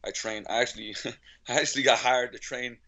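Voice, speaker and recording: A man's voice: mid-range voice, steady tone, brisk, bright, forceful tone. Slovenian guy guesting on a podcast, casual storytelling, repeats himself, kinda muffled lo-fi audio. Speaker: podcast guest, strength coach and gym owner.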